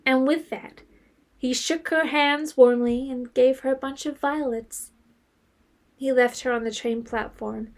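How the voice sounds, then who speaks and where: A woman's voice: high-pitched, steady tone, a little slow. A woman narrating an audio drama as a scripted literary story.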